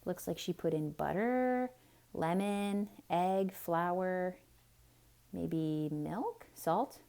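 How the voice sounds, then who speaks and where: Low-pitched woman reading to children, unhurried. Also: vocal fry, dark tone, clear, resonant tone. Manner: explains simply, chatty asides. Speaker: children's storyteller. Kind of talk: theatrical read-aloud, asking young listeners questions.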